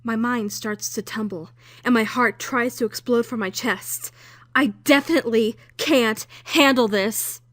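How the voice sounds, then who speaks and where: A woman's voice: fairly high pitch, unhurried, a bit bright, no room sound. A woman playing an anxious young female trainee, earnestly narrating her own thoughts in a visual novel fan dub. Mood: shaken, anxious. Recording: music under a close, dry voice.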